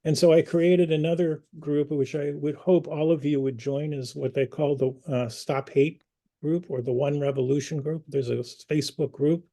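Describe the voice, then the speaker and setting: A man hosting an online community meeting, speaking earnestly and personally, pausing with fillers and restarts. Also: a little high, steady tone, warm tone. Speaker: community group host.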